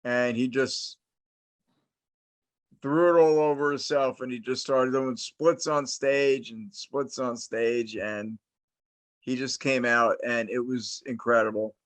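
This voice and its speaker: Slow, rambling middle-aged man from New Jersey. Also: medium pitch, steady tone, no echo. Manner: rambling, many restarts and fillers. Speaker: host, interviewer. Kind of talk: casual interview chat, long storytelling.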